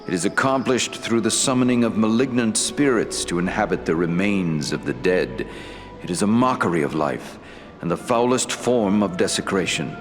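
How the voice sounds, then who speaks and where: A man playing a wise, ancient elf lord in a fantasy game, speaking gravely in formal, deliberate phrasing. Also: low voice, natural voice. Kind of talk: fantasy game dialogue acting. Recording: long hall reverb, faint music.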